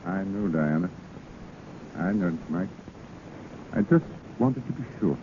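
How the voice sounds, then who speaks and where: A man's voice: deep voice, lively intonation, unhurried. A man acting a proud, formal, middle-aged husband in an old radio drama, with clipped theatrical diction. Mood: coldly controlled. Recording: scratchy, tinny old radio broadcast.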